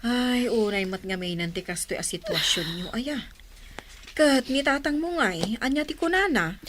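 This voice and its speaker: A woman's voice: fairly high pitch, expressive, normal speed, a bit bright, a little hiss or hum. A woman playing a concerned, comforting village aunt with a Filipino accent, sympathetic and questioning in a radio drama.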